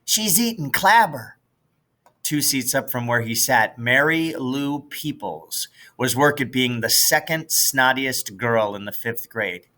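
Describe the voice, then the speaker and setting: A man's voice: mid-range voice, a little slow, hardly any pauses, pushed and bright. An American man reading a children's novel aloud as scripted narration. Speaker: audiobook reader, teacher.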